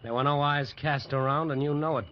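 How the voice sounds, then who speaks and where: An actor playing a wronged, angry husband from a logging camp, tense and accusing, in a 1940s radio drama. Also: male voice, fairly high pitch, fairly even intonation, fairly quick, lightly acted. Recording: dull, noisy old 1940s radio recording.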